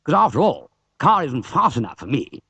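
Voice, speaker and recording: A British man voicing a keen, stammering gentleman racing driver in a storybook. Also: mid-range voice, huge pitch swings, fairly quick, slightly rough, no echo, dull audio, pitched above the performer's own voice, heavily acted. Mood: dismayed. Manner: stammering, exclamatory.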